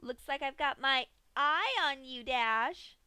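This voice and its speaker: Manic female cartoon pony, as a woman puts her on: high, bright, bubbly singsong, punning with glee. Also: slow pace, no room sound, heavily acted. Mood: teasing. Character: manic giggly female pink pony, cheerfully cruel.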